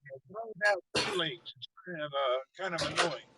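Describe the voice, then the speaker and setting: A man's voice: on the high side, normal speed, heavy background noise. A man from Tennessee hosting a live stream in an upbeat way and greeting viewers by name. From Tennessee, USA. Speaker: live-stream show host. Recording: dull lo-fi stream audio.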